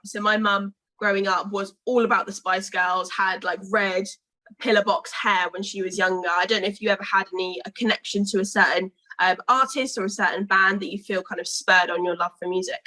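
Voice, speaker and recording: A woman's voice: mid-range voice, steady tone, fairly quick, pushed and bright, rough recording. A young British woman interviewing a music duo, asking long chatty questions full of fillers.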